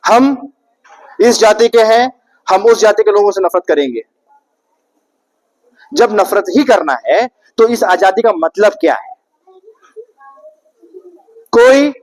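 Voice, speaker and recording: High-voiced man giving a rousing speech. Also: rough recording. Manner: rhetorical, repetitive, questioning the audience. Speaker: speaker at an Independence Day event. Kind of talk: public speech to an audience.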